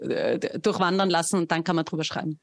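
A woman's voice: lowish voice, steady tone, fast, no room sound. A woman in her thirties, a musician guest on a podcast, speaking thoughtfully and searching for words over a video call. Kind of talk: casual interview chat. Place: home room with bookshelves, video call.

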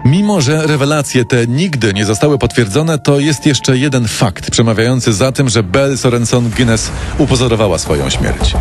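A man narrating a scripted true-crime story with dramatic storytelling delivery. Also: medium pitch. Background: music.

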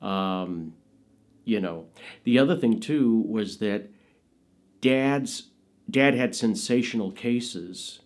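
Brief: middle-aged man, lowish voice, lively anecdotal interviewee, studio sit-down. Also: slow, long pauses. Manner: animated, laughing storyteller who jumps into high-pitched quoted voices. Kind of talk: anecdotal storytelling. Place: indoors, studio with dark curtain backdrop.

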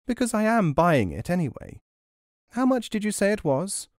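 A man's voice: high-pitched, very animated, moderate pace, darkish tone, no echo, studio quality, voice pushed up. A man voicing a cunning, bold old woman in a folk tale, sly and wheedling. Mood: brazen. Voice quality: wheezy, mumbling at times. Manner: sly, sometimes falsely pious and humble.

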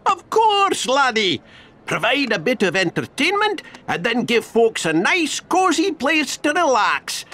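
Shrewd elderly duck tycoon, Scottish accent, high-pitched, husky, cheerful, game cutscene. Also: male voice, wildly swooping pitch, unhurried, bright, forceful tone, heavily acted. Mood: jovial, scheming. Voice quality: raspy. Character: canny, cheerful old Scottish rich duck businessman.

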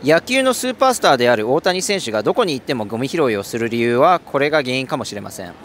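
Male street interviewer, polite and formal, animated pitch. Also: fast talker, slight background noise. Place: city street outdoors.

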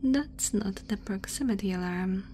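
A cautious female alien scientist, played by a woman whispers softly in an ASMR roleplay. She is formal, precise and curious about humans. Her voice sits at a middling pitch, with noisy ambience behind it. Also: normal speed, natural voice. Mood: worried.

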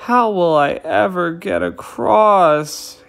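A storyteller voicing a greedy little male bee in a children's story, with high, exaggerated cries. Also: takes it slowly, creaky, fairly bright tone, faint music behind. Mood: worried.

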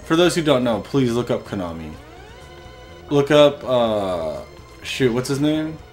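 Young dude playing a game, super animated voice, gets salty and yells, light music behind. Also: male voice, medium pitch, a little slow. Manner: excitable, swearing, frustrated outbursts. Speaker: let's play host. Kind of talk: casual gameplay commentary.

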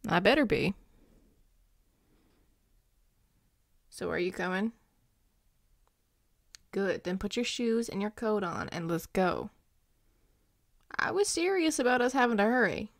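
A woman playing a grumpy but devoted male werewolf boyfriend, speaking intimately to the listener in a gruff, tender, halting way. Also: medium pitch, dry recording, studio quality. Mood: teasing, gruff. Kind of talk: intimate audio roleplay, spoken to the listener.

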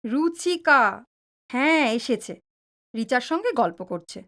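A woman playing a caring, chiding middle-aged mother in an audio drama, with an expressive motherly delivery. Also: high voice, measured pace, heavily acted.

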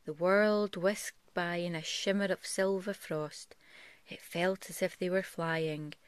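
A woman reading a picture book aloud as a parent would, in a soft, gentle storytelling style. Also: lowish voice, fairly even intonation, takes it slowly, smooth, no echo. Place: bedroom, on a bed at home.

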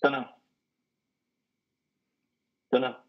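A man in a play reading, acting a worn-out trucker who relives childhood trauma like a frightened boy, halting and pleading. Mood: haunted, agitated. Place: living room on a sofa. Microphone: headset on a video call. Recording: muffled video-call audio.

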